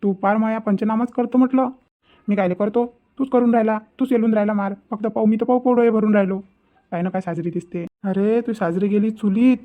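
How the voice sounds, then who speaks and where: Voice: mid-range voice, fairly even intonation, brisk, close to an everyday voice. A performer voicing a cheeky, grumbling young village lad in a comedy cartoon, with a Vidarbha Marathi accent and a habit of repeating himself. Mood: cheeky, smitten. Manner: muttering complaints, repeating himself. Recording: muffled, phone-like lo-fi sound.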